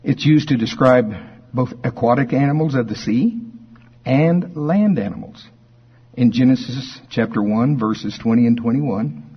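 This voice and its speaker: A man's voice: medium pitch, slightly rough. Folksy male preacher, slightly muffled sound. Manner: folksy, conversational, deliberate emphasis when reading scripture. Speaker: preacher teaching a Bible study. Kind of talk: informal Bible teaching to a congregation, reading verses aloud. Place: speaking live before a congregation.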